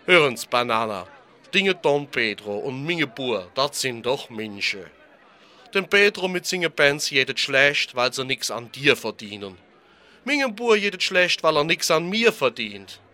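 A man's voice: mid-range voice, sing-song, measured pace, bright, forceful tone. A man playing a naive, plaintive little milk bottle in a radio play, with a Rhineland accent and a simple, folksy, questioning manner. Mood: puzzled, naive. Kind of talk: German political radio play.

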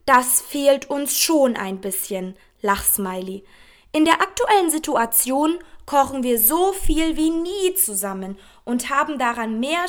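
Woman playing a high-pitched, unhurried gushing influencer. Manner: speaks emojis and tags out as words. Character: gushing female Instagram influencer promoting products to followers. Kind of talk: social media posts read aloud.

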